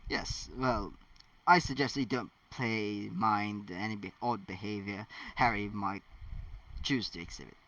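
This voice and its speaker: A man's voice: on the low side, unhurried, a bit bright, no room sound, voice pushed down. A man reading a storybook's elderly headmaster, polite, mild and slightly befuddled. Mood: warm, evasive. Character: elderly male school headmaster, genial, slightly bemused. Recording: distant mic, dull lo-fi sound.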